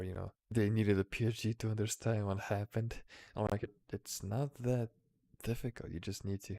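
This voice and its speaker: A man's voice: lowish voice, a little mellow, no room sound. A man co-hosting a casual discussion of anime, speaking informally and swearing now and then. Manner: informal, profane. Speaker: podcast co-host. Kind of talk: casual chat about anime.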